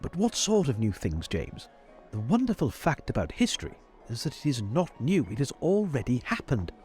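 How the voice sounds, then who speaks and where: An earnest, patronizing old academic mentor, played by a man in a tabletop game. His pitch swings in a lively way as he halts and repeats himself formally. Faint music plays behind him. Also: moderate pace. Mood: concerned, pleading. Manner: hesitant, repeating words, formal. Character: fussy, earnest older male university professor, patronizing mentor. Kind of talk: improvised tabletop roleplay.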